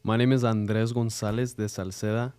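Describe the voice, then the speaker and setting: Clear-voiced American man of nineteen. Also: fairly low pitch, flat delivery, moderate pace, warm tone. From Arizona, United States. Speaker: interview guest, realtor. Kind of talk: casual chat.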